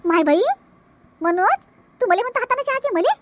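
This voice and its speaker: A woman's voice: extremely high pitch, lively intonation, normal speed. A woman voicing a quarrelsome, suspicious older mother-in-law in a comic cartoon, scolding and repeating complaints in a Vidarbha Marathi accent. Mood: indignant, alarmed. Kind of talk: exaggerated comic cartoon dialogue.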